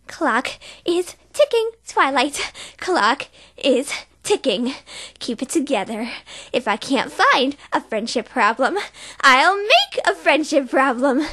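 A woman's voice: high voice, sharp tone, heavily acted. A woman voicing an earnest, studious young pony princess who frets and explains precisely. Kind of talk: fan voice reel impression.

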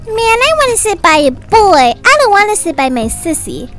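A smug little boy of kindergarten age, acted by a woman in a cartoon roleplay. He grumbles and taunts in a very high, bright voice. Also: sing-song, moderate pace, soft background music, full character voice. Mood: grumbling. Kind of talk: animated doll-game roleplay voice acting.

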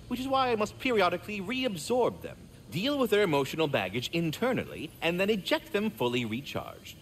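A man's voice: high voice, wildly swooping pitch, brisk, a bit bright, slight background noise, full character voice. A man voicing a courteous, fretful, erudite magical squirrel in a video game, speaking in formal, wordy, theatrical phrases. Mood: anxious explaining. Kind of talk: video game character acting.

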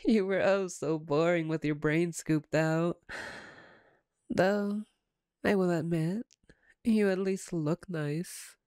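Woman acting a slow, mellow, teasing vampire captor. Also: on the low side, fairly even intonation, no echo, clean studio sound. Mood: playful teasing. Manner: teasing, sardonic, unhurried. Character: playful, teasing, domineering female vampire captor. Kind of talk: intimate ASMR roleplay acting.

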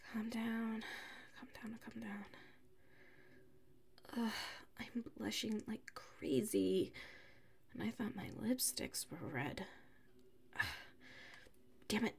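A woman playing a flustered, defensive female classmate with a secret crush in an ASMR audio roleplay, huffy and halting. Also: on the high side, creaky, darkish tone, breathy edge, heavily acted. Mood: flustered muttering. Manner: halting, huffy, defensive, slips into muttered whispers.

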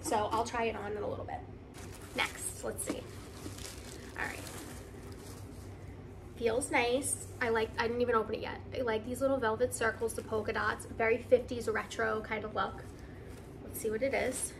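A woman vlogger chatting casually to camera in an excited, gushing, conversational way, as in an unboxing video. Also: a little high, moderate pace, pauses often, noisy background.

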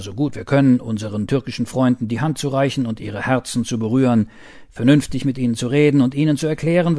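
Casting: male voice-over for documentary reportage, soft dark timbre, measured read, dry studio-style recording. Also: medium pitch, no room sound. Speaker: voice-over narrator. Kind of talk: scripted reportage narration and voice-over.

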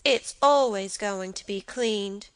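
A woman's voice: medium pitch, takes it slowly, a bit bright, clean tone, no echo. A woman voicing a blunt, petulant little boy with abrupt, emphatic childish speech in a storybook reading. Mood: insistent.

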